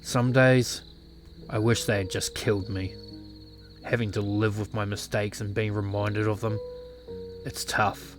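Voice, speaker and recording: A man playing a brooding, regretful former clan leader in a visual novel, speaking in a somber, measured, reflective way. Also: on the low side, flat delivery, over music, lightly acted.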